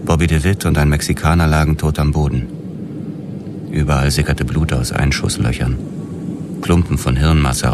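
A man's voice: a bass voice, noisy background. An actor playing a hard-boiled police detective who narrates his own story in a noir radio drama. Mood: grim, dazed. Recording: music under the voice. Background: music.

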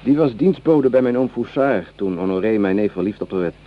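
An actor playing a rueful, well-off young volunteer soldier in a radio play, confiding in an earnest, reflective way. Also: male voice, lowish voice, expressive, brisk, lightly acted. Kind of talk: Dutch radio play acting. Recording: dull, noisy old radio recording.

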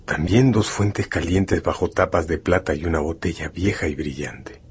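A man narrating a scripted radio drama in a measured, solemn storytelling manner. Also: low-pitched, a little hiss or hum.

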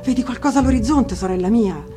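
A terrified young storybook wife, played by a woman reader, begs in a raised, anxious voice. She trembles and pleads for mercy. Music sits clearly behind her. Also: a little high, fast talker, pitched above the performer's own voice, natural voice. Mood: anxious, urgent. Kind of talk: dramatic fairy-tale reading. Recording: music under the voice.

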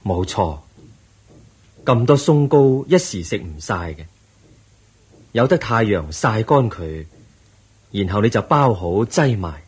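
A wise, patient Buddha in a radio play. His low voice is very husky and moves through wide rises and falls. He teaches in a measured, gentle, preaching manner. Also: big pitch swings, exaggerated voice. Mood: kindly, instructive. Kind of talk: Cantonese radio drama acting.

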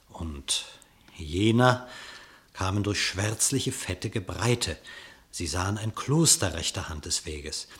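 A man narrating a radio play in verse, expressive and theatrical, with measured diction. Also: fairly low pitch.

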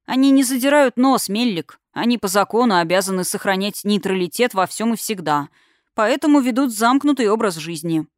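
A woman's voice: medium pitch, lively intonation, a little fast, no room sound, clean studio sound, lightly acted. A woman voicing a stern, protective young male eagle shifter who speaks with reproachful authority in a fantasy audiobook.

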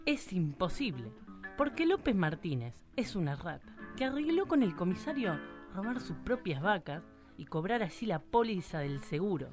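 A woman's voice: on the low side, big pitch swings, normal speed. An actress playing a sly Argentine country woman who narrates and snoops, with a folksy, knowing way of talking. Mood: narrating. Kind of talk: live radio drama acting. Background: guitar music.

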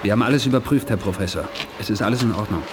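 Terse male agent played by an actor, loud noise. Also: on the low side, racing, lightly acted. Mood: businesslike. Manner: terse, urgent. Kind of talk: 1980s German radio drama acting. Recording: loud machinery noise behind.

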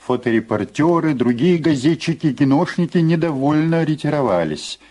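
A man's voice: on the high side, lively intonation, moderate pace, mellow. A man narrating a scripted story in a dramatic audio play style.

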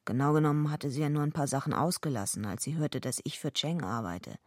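An actress playing a gruff, streetwise young female fighter who speaks bluntly and tersely in a radio drama. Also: animated, brisk, no room sound, clean studio sound. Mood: dry, brooding. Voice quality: low, husky, gravelly. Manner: blunt, terse, laconic first-person telling. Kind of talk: radio drama acting with narration.

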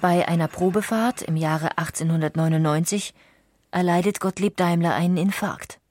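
A woman reading scripted documentary narration in a measured, factual way. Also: lowish voice, darkish tone, a little hiss or hum.

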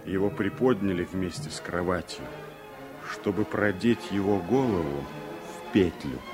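Slow, grave former exile. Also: male voice, sits low, music in the background. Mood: grieving, solemn. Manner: solemn, measured, weighty. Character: grave former political exile, a man haunted by memory. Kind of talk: Soviet radio play dramatic storytelling.

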